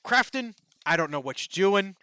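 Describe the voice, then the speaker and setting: A man's voice: sits high, lively intonation, bright, forceful tone, clear, resonant tone, no echo. A man co-hosting a gaming podcast, chatting casually in a rambling, playful way. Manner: rambling, playful, quick to laugh. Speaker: podcast co-host.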